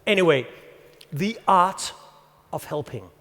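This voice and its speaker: Danish man, 50s, high voice, conference speaker, storytelling delivery, reverberant hall. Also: lively intonation, a bit bright. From Denmark. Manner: storytelling, reads a passage aloud with pauses. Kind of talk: presentation to an audience.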